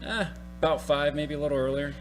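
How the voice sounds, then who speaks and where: A man's voice: a little high, little pitch movement, a little fast, over music, lightly acted. A man acting an easygoing, folksy suburban husband and father in a radio play. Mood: concerned.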